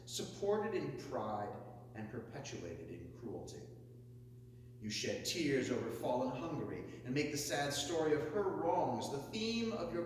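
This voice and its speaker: Man, declamatory oration, lively sweeping pitch, fairly high voice, distant mic, faint hum. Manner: emphatic, builds to forceful high peaks on lists. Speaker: reader of a historic speech. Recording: echoey hall, mic far off, faint hum.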